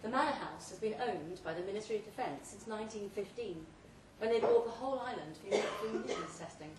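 A woman reads a short story aloud at a live event, delivering it theatrically in measured, deliberate phrases. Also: medium pitch, lively intonation, a crowd in the background. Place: pub event room, read aloud live. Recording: roomy, distant live recording with faint noise.

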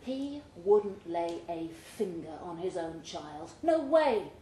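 A woman reading fiction aloud at a live event in an expressive storytelling style. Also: on the low side, slow. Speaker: short story reader at a live literary event. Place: indoor pub event room. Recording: roomy pub recording with faint noise.

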